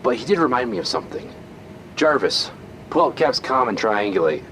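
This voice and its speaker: An actor playing a sardonic, commanding billionaire hero. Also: male voice, medium pitch, expressive, brisk, gravelly, fairly bright tone, a little hiss or hum. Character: cocky male billionaire hero leader.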